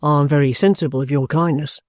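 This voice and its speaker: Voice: mid-range voice, steady tone, fairly quick, dry recording, clean studio sound, pitched below the performer's own voice, close to an everyday voice. A reader voicing a bluff, stubborn ex-soldier in an audiobook, courteous, plain-spoken and offhand. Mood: calm, reasonable. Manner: respectful, offhand, self-possessed.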